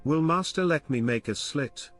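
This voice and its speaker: A man voicing a calm, loyal young manservant who speaks with formal, deferential politeness in an audiobook. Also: a little high, normal speed, faint music behind. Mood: polite.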